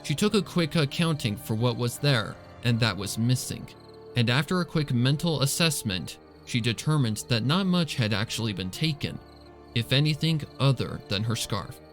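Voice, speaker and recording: Man narrating a story over soft music. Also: mid-range voice, lively intonation, normal speed. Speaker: fan fiction reader and narrator. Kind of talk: scripted dramatic story narration.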